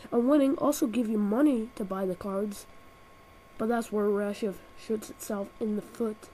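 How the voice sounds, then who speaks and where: A woman's voice: medium pitch, fairly even intonation, moderate pace, warm tone, slight background noise, rough recording. A woman with a cold and a nasal voice, reviewing a video game with sarcastic, exaggerated comic rants, recorded on a built-in laptop microphone. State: has a cold.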